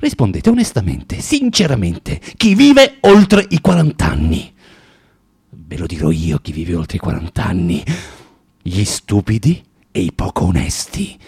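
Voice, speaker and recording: A male actor playing a bitter, spiteful, tormented former clerk of about forty in a theatrical radio monologue, confessing with halting, emphatic delivery and dramatic pauses. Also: low voice, big pitch swings, darkish tone, slightly rough, dry recording, studio quality, heavily acted. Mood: scornful, indignant.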